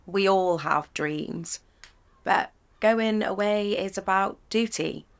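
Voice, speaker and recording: A woman's voice: medium pitch, slow pace, creaky, clear, resonant tone. A teasing young woman. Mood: earnest. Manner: lively, teasing. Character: young working-class woman emigrating, teasing. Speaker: photography client. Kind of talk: audio drama.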